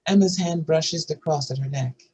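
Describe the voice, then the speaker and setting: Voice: medium pitch, normal speed, mellow, slightly rough. A narrator reading screenplay stage directions and scene headings aloud at a scripted table read.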